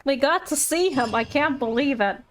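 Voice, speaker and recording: A woman's voice: sits high, lively intonation, normal speed, slight background noise, poor audio. A woman streaming a video game, chatting casually with playful asides and reading game text aloud over music.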